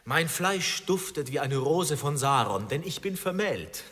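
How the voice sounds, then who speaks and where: An actor playing a witty, grandiloquent bridegroom in a radio drama, declaiming with mock solemnity. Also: male voice, fairly high pitch, sing-song, a little slow, a bit bright, husky, heavily acted. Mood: rapturous, declamatory. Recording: long hall reverb, faint music.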